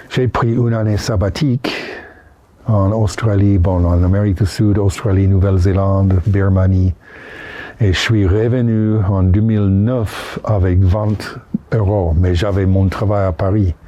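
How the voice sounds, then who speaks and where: A man in his sixties from Utah, with an American accent, chatting casually and haltingly in French with English words slipped in, recorded outdoors under a bridge. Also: on the low side, fairly even intonation, warm tone. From Utah, United States. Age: 60s. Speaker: interviewee. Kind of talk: casual chat.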